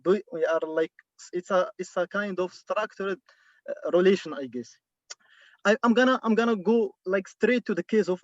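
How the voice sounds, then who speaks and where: A man from Morocco, a doctoral student, asking a question over a video call from his car, halting and repeating words. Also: high voice, no room sound, lo-fi sound. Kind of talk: online Q&A question. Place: inside a car.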